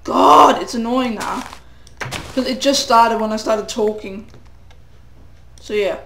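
A man's voice: very high-pitched, slow, vocal fry, sharp tone, roomy sound, slight background noise. A teenage boy with a cold giving rambling, self-deprecating commentary while playing a game. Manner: rambling, self-deprecating, sing-song asides. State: a cold, voice getting hoarse. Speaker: gamer.

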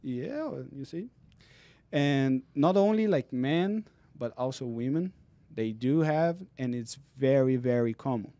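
A man in his thirties explains exercises and leg health with patient earnestness. His voice is mellow and warm rather than bright, at an ordinary middle pitch. It is recorded close on a studio mic with a dry, dead sound. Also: expressive, unhurried, dry recording. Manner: earnest, explanatory, non-native phrasing. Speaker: physical therapist guest. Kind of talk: explaining in casual interview. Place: dark podcast studio. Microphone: studio mic on boom arm, headphones.

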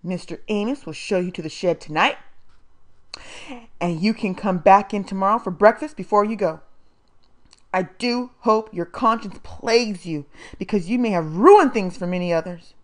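A woman's voice: mid-range voice, normal speed, close to an everyday voice. A woman voicing a stern, haughty foster mother who scolds in a pompous, formal way, in an audiobook. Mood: pompous scolding.

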